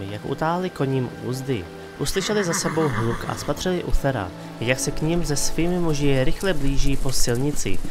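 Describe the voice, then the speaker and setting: Male narrator, scripted dramatic storytelling, mid-pitched, soft music underneath. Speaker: narrator and host. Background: faint background music.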